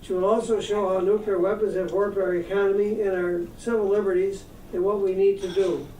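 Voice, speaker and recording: A man's voice: sits high, level tone, fairly quick, warm tone, a little hiss or hum. A man hosting a meeting, reading a prepared introduction of the speaker and stumbling now and then. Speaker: meeting host. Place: meeting room. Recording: echoey room, far from the mic.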